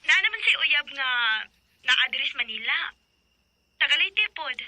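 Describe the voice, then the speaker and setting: A woman acting a brusque young woman in a radio drama, sharp and emphatic. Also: high-pitched, lively intonation, moderate pace, slight background noise, exaggerated voice. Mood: blunt.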